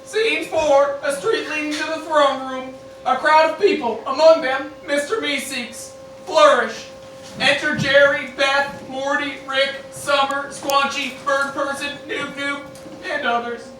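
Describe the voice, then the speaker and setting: Female stage-direction narrator, deliberate and bright, high pitch, heavily echoing room recording. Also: steady tone, a little hiss or hum. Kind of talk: scripted narration of amateur radio play. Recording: very echoey room, slightly distant mic.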